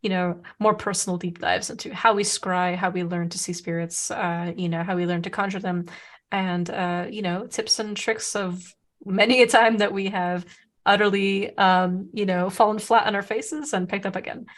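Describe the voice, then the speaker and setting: A woman's voice: medium pitch, steady tone, moderate pace. A woman whose first language is Serbo-Croatian, co-hosting a casual podcast, talking in a chatty way with many fillers and restarts. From Serbia. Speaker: podcast co-host.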